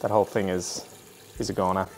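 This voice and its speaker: Chatty Australian man, low voice. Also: flat delivery, a little fast, a little hiss or hum. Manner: chatty, self-deprecating, thinks aloud. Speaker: plant vlogger. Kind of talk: casual chat while doing chores. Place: lounge room full of houseplants.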